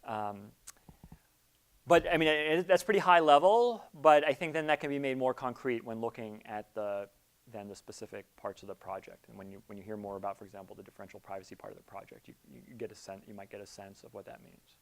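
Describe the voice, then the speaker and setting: A middle-aged man giving an informal orientation talk as an academic leader, halting and repeating words as he thinks aloud. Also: on the low side. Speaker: academic project leader, theorist. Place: seminar room. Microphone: lapel mic.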